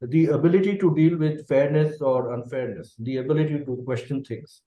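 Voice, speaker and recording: A middle-aged Indian man whose first language is Bengali, a company managing director answering interview questions thoughtfully and often checking in with a quick "okay". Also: fairly high pitch, echoey room, muffled recording. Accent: Indian English.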